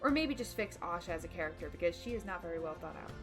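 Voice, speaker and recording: A woman's voice: fairly low pitch, animated, fast. A woman delivering an opinionated film video essay, emphatic and dramatic, with casual slang slipping in. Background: background music.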